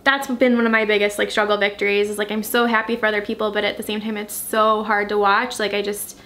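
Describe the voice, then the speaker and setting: A woman in her late twenties chatting casually in a home vlog, earnest and heartfelt, quick to giggle. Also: mid-range voice, level tone, a bit bright, slight background noise. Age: 20s. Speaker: vlogger. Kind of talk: casual couple vlog chat. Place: apartment living room, indoors.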